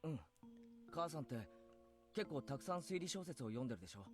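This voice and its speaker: An earnest teenage boy in a visual game, speaking very rapidly in a youthful, higher voice. He is eager and casual, with music playing behind him. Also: big pitch swings. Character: teenage boy, earnest son questioning his mother. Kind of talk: Japanese visual novel voice acting.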